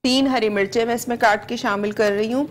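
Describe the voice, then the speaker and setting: A woman's voice: a little high, fairly even intonation, fast. A woman in her forties with a Pakistani Urdu accent, a TV chef patiently walking viewers through a recipe step by step in a studio kitchen, on a lapel mic. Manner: patient step-by-step instruction, repeats each ingredient. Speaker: TV cooking show chef. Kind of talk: live TV cooking demonstration.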